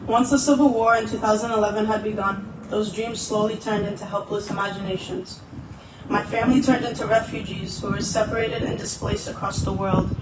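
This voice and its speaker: A man giving a solemn, earnest prepared speech at a candlelit vigil, recorded from a distance. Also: high-pitched. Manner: earnest, solemn, repetitive phrasing. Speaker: vigil speaker. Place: gathering indoors, recorded from afar. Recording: echoey, distant, noisy event audio.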